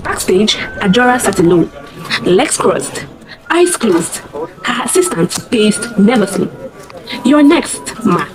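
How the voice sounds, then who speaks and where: Expressive woman narrating a dramatic story. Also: medium pitch, a little slow, music in the background, rough recording. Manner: theatrical, suspenseful, short punchy phrases.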